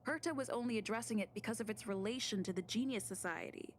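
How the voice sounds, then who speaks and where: A woman's voice: on the high side, quick pace, slight background noise, natural voice. An actress playing an earnest, composed young woman in a video game, explaining herself firmly. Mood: defensive. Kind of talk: scripted video game voice acting.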